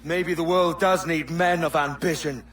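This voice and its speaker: An actor playing a brash, defiant, ambitious pirate captain in a dramatic video game cutscene. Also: male voice, on the high side, fairly even intonation, normal speed, fairly bright tone. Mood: bitter, scornful.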